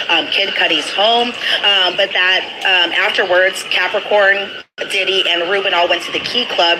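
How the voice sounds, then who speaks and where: A woman reporter with a fairly low, slightly hoarse voice explains court testimony from her notes. She talks conversationally and walks through it point by point. Heavy noise sits behind her voice. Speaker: trial reporter. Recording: loud background noise throughout.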